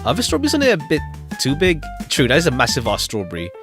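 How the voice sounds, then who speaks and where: A man's voice: on the high side, huge pitch swings, fast. A man streaming a game, chatting casually and reading dialogue aloud in a rambling, chatty manner. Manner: rambling, chatty, repeats himself. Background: background music.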